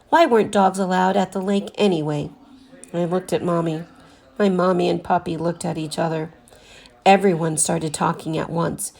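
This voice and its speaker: A woman with a mid-pitched voice reads a children's picture book aloud as a teacher would for her class. Her delivery is expressive, with deliberate emphasis on the words that matter to young listeners. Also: vocal fry.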